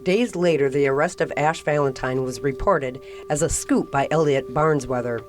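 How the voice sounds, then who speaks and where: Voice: mid-range voice, normal speed. A narrator delivering scripted storytelling narration for an audio drama. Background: music.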